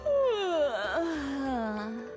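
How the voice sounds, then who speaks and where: A woman playing a calm, perceptive young confidante in a video game, speaking gently and deliberately. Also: high voice, over music, exaggerated voice. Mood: sympathetic, knowing.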